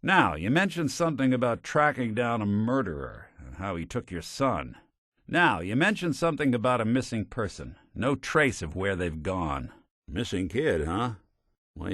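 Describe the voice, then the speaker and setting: An American actor playing a world-weary, gruff but decent synthetic private detective in a noir game, with a dry, sardonic manner. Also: male voice, on the low side, big pitch swings, unhurried, a bit bright, no room sound, full character voice. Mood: businesslike. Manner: dry, sardonic, old-time gumshoe slang. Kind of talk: noir hard-boiled detective game dialogue.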